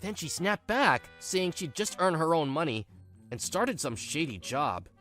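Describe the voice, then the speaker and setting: Woman playing a low, smug young husband. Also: sing-song, measured pace, a bit bright, faint music behind, heavily acted. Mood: fake troubled.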